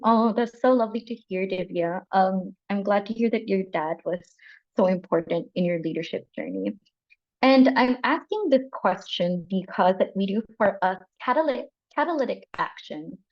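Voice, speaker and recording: A woman's voice: medium pitch, a little mellow. A woman hosting an online workshop who thanks people and sometimes restarts mid-sentence. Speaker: workshop host. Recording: muffled lo-fi audio.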